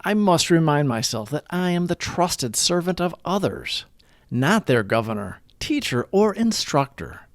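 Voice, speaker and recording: A man with a fairly high, very animated voice reads reflective material as a host. His phrasing is deliberate and emphatic, and the recording is dry and close. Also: dry recording. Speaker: host of a daily recovery reading. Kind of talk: scripted reflective read-along narration.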